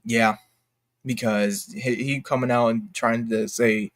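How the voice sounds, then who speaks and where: A man's voice: medium pitch, steady tone. Man in a rambling, emphatic casual rant. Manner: rambling, halting, self-interrupting; exclamatory, slangy, emphatic. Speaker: YouTube commentator. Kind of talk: unscripted casual commentary rant.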